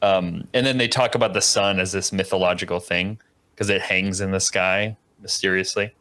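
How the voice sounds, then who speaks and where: A man's voice: deep voice, steady tone, normal speed, sharp tone. A man co-hosting a book podcast, eagerly explaining story lore in casual chat. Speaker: podcast co-host and reader.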